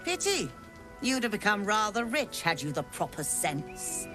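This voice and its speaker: An actress voicing a haughty, scheming merchant woman who talks theatrically and flatters to get her way. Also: medium pitch, unhurried, music in the background. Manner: theatrical, wheedling, affected.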